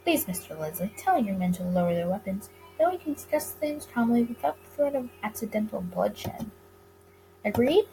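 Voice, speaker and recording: A woman's voice: mid-range voice, lively intonation, normal speed, dark tone, a little hiss or hum, close to an everyday voice, poor audio. Woman playing a sly, suave speakeasy boss. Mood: calm, diplomatic. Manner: smooth, courtly, teasing, wordy.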